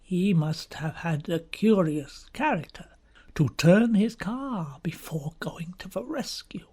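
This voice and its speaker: A man reading the part of a grave, sinister middle-aged male country doctor in an audiobook, asking slow, deliberate, probing questions in a breathy voice. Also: high-pitched, animated, darkish tone, heavily acted.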